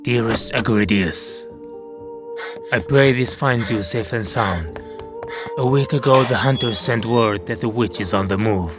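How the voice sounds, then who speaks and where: Dying medieval lord and father, played by a male narrator: lowered, grave, formal, resigned, music behind. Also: lightly acted. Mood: solemn, resigned. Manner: grave, slow, formal storybook speech. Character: dying noble father writing a solemn last letter.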